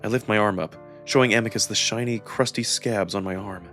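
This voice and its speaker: A man with a husky voice reading first-person fiction aloud as a dramatic narrator. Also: on the low side, fairly quick, fairly bright tone. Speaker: narrator and performer of a visual novel reading. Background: background music.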